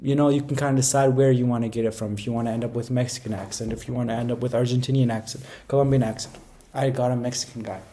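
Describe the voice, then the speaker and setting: Man, mid-pitched voice, casual how-to talk, informal, emphatic, rambling, repeats himself for stress. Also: steady tone, fast, mellow. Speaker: language-learning video creator.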